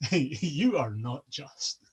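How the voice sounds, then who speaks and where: A sneering, mocking trickster god, put on by a male storyteller. His husky voice leaps up and down in pitch as he throws out slow, scornful insults. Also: full character voice.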